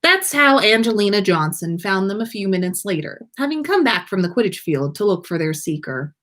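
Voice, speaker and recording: A woman reading a story aloud in an animated narrating style. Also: mid-range voice, a little fast. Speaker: podcast host reading fan fiction aloud.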